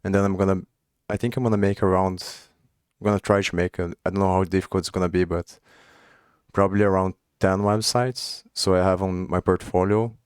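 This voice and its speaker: A man's voice: low-pitched, level tone, fairly quick, smooth, no room sound, studio quality. A young man from Brazil with a Brazilian Portuguese accent, speaking English as a second language, chatting casually and thinking aloud while coding on a livestream. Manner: thinking aloud, restarts and trailing phrases. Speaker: coding streamer.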